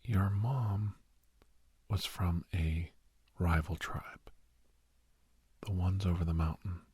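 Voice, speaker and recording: A man playing a kindly doctor in an ASMR roleplay, speaking in a hushed, breathy whisper with pauses. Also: low voice, fairly even intonation, dry recording, full character voice. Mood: reassuring, confiding. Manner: hushed, unhurried, with pauses and soft chuckles. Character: kindly doctor advising a young werewolf, old family friend.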